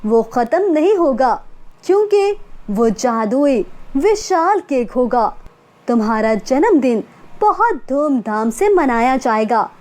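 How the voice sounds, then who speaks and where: A woman voicing a gentle, kind female fairy who speaks in a soothing, reassuring way. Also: high voice, very animated, takes it slowly, full character voice. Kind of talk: storybook character voice.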